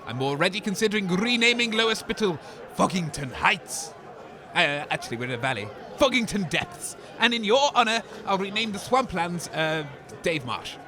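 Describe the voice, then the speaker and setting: A man's voice: high-pitched, animated, normal speed, sharp tone. A man playing a pompous, melodramatic, scheming eccentric co-host in a radio comedy, with grandiose speech. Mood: smug. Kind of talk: comedic radio drama banter. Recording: music and faint crowd behind.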